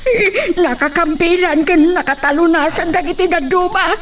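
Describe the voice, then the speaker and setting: A woman's voice: very high voice, moderate pace, noisy background. A woman playing an anxious, lamenting household woman with a fretful manner in an Ilocano radio drama, with an Ilocano accent.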